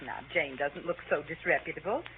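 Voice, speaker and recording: A woman playing a patient, placating mother who gently corrects her children in a 1930s radio drama. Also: sits low, a little fast, slight background noise, lightly acted. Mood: mildly reproving. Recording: old 1930s radio, narrow tinny band.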